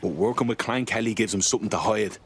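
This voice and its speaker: A man's voice: lowish voice, quick pace, a bit bright, slight background noise, natural voice. A man playing a blunt, indignant hacker vigilante in a video game. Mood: resolute. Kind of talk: game cutscene dialogue.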